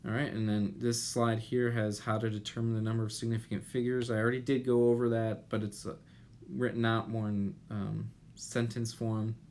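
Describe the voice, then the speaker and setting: A man in his thirties giving an explanatory lecture as an instructor, deliberate and pausing, with rhetorical questions. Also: lowish voice. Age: 30s. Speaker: chemistry instructor. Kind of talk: explanatory lecture over slides. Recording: noticeable steady background noise.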